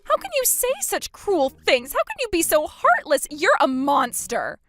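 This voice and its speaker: A woman's voice: wildly swooping pitch, moderate pace, a bit bright, heavily acted. A woman voicing a desperate, self-pitying sister-in-law who pleads and whines. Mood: pleading. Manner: pleading, shrill and tearful.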